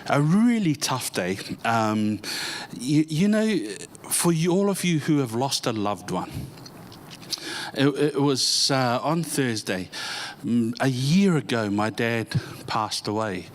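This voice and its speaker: Halting New pastor. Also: male voice, mid-range voice, a bit bright. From born in Samoa, grew up in New Zealand. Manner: halting, with many fillers and restarts, heartfelt. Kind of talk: personal introduction to a church audience.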